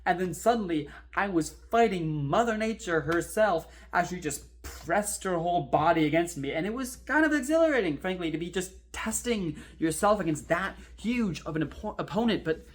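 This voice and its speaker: A man performs a talkative kayak rescuer telling a horror story as if to a friend. He is wry and informal, halting for suspense as he recounts a strange ordeal in a drama monologue. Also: high-pitched, lively intonation. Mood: exhilarated. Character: chatty kayak rescuer recounting an eerie near-drowning.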